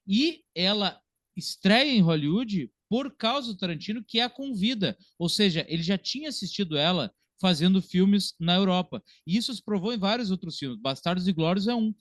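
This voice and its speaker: A man with a high-pitched voice talks casually about cinema as a podcast host. He explains his points conversationally, and the sound is very dry and close, free of room echo. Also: expressive, moderate pace, fairly bright tone. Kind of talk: casual explanatory film discussion.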